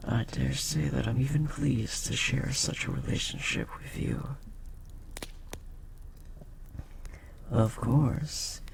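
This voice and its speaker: Guy doing a devoted demon servant, really slow n breathy, theatrical formal talk, gravelly, noisy ambience behind. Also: medium pitch. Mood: devoted, hushed. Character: powerful male demon bound to a sorcerer master, devoted, possessive. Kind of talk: dramatic audio roleplay monologue. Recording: clear background noise, ambience.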